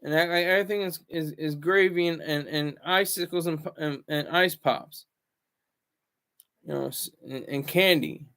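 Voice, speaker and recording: A man hosting a casual solo commentary vlog, rambling and restarting his words, swinging between loud bursts and low asides. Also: normal speed, clear, resonant tone. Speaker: commentary channel host.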